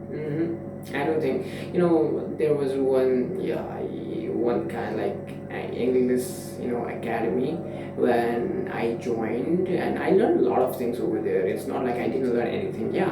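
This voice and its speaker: A young man from Nepal muses aloud in his twenties, wandering through thoughts with endless fillers and false starts. His voice has a gravelly edge and sits far from the mic in a strongly echoing room, with steady background noise. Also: medium pitch, unhurried. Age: 20s. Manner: rambling, full of "you know" fillers and restarts. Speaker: vlogger. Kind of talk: casual reflective monologue.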